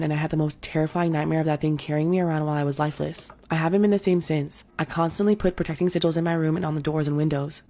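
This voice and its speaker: A narrator reading first-person storytime posts aloud in an even, steady voice. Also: medium pitch.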